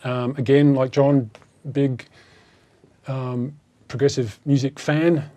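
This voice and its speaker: A man introduces himself at an unhurried, halting pace. His voice sits in the middle range. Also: medium pitch, little pitch movement, dark tone. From Scotland.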